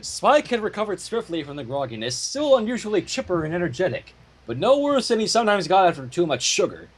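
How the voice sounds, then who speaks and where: A man reading fan fiction aloud in a theatrical storytelling style, with casual asides and a husky voice. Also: high voice.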